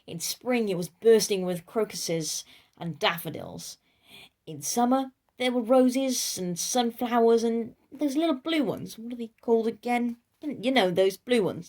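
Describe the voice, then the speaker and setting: A woman reading a children's storybook aloud in a playful, theatrical way, speaking directly to her listeners. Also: mid-range voice. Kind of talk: animated children's storybook narration.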